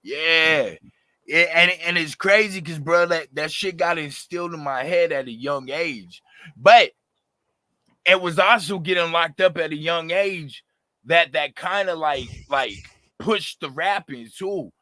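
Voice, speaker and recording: A raspy-voiced man in his thirties, a rapper talking animatedly and passionately in a casual interview, full of slang and fillers. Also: a little high, measured pace, pushed and bright, no room sound.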